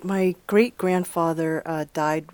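A woman in her fifties from the Boston area, an academic guest telling a family story in a lively, conversational way, with fillers and jumps in pitch for emphasis. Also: slow pace, no echo. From Boston area, USA. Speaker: guest, ethnomusicologist and author.

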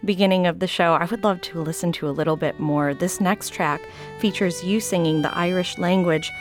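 A woman radio host interviewing a guest with a clear, steady delivery. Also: lowish voice, a little fast, soft background music.

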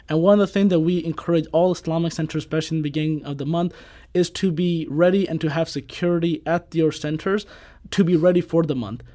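A man's voice: a little high, darkish tone. A man from the United States speaking as an advocate in a radio interview, explaining things fluently and articulately. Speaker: advocacy group director, panel guest. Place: radio studio.

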